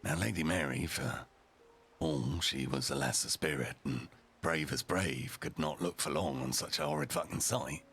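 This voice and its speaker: Man playing a deep, gravelly, rough-and-ready storyteller. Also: normal speed, fairly bright tone, exaggerated voice. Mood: gruff, dramatic. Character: Stone, rough and ready, foul-mouthed roleplay storyteller. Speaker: storyteller, narrator. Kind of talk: theatrical storybook narration, peppered with swearing.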